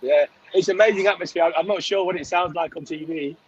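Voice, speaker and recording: A man with a slightly hoarse voice chatting casually as a sports fan guest on a call, often checking in with "right?". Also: fairly high pitch, fast. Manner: conversational, often tags phrases with "right?". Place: on a coach, remote call. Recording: dull lo-fi call audio.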